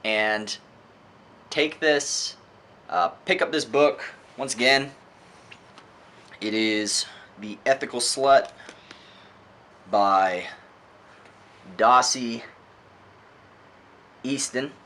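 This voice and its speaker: A man giving a casual, opinionated book review monologue in an earnest, emphatic way, often halting and posing rhetorical questions. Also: mid-range voice, bright, forceful tone.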